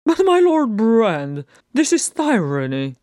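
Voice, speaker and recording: An indignant, brandy-soaked courtier, put on by a story narrator. He splutters with pompous affront, his voice pushed high and loud. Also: wildly swooping pitch, slow pace, no echo. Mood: outraged.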